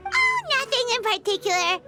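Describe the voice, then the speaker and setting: Tiny squeaky excitable fairy companion. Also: female voice, normal speed, over loud music, exaggerated voice. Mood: sheepish, eager. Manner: chirpy, refers to self in third person. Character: small excitable childlike female fairy companion. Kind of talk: video game voice acting.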